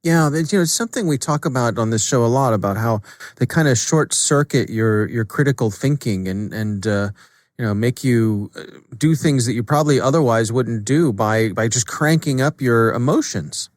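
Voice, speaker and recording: A man with a mid-pitched voice hosts an interview in a very dry recording. He is casual and conversational, often doubling back on words as he works toward a question. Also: dry recording. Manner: halting, repeats words as he frames questions. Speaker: podcast host and interviewer.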